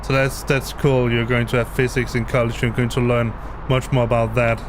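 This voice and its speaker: A man's voice: medium pitch, flat delivery, moderate pace, noisy background. A man whose first language is Danish, explaining something on a live stream and often hesitating, restarting and repeating words. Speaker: flight sim streamer. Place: home basement.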